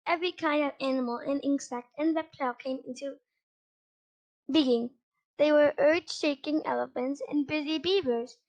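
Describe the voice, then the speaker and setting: A female reader with a high voice reading a children's Bible story aloud, halting now and then over words. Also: rough recording.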